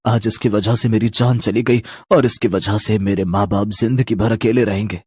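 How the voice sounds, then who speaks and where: A man performs the ghost of a poor young man in a cartoon horror tale. The voice sits fairly low and is recorded close and dry. It sounds grim, sorrowful and set on revenge. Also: steady tone, normal speed, a little mellow, slightly rough, dry recording. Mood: vengeful, grim. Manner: grim, resolute. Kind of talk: Hindi cartoon horror story dubbing.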